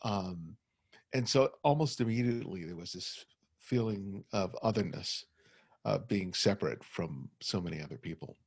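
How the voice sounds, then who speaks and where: A man's voice: deep voice, lively intonation, moderate pace, slightly rough, no echo, clean studio sound. A middle-aged man with a slight stutter who often repeats words, giving a personal talk as a guest over a video call. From United States. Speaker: guest photographer. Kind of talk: personal talk at an online forum.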